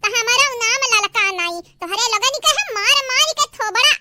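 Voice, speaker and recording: A performer voicing a melodramatic, aggrieved village sister-in-law in a family comedy cartoon, with a squeaky pitched-up voice, a Bhojpuri-Awadhi North Indian accent and a theatrical, scolding, wailing delivery. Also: expressive, a little fast, sharp tone, a little hiss or hum, heavily acted. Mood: angry, threatening. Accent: Bhojpuri-Awadhi belt of North India. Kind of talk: exaggerated family comedy cartoon dialogue in rural dialect.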